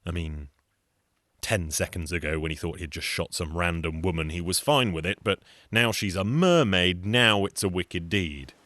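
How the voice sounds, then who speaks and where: A man's voice: sits low, normal speed, sharp tone, dry recording. A man performing theatrical folktale storytelling, with dry, wry asides.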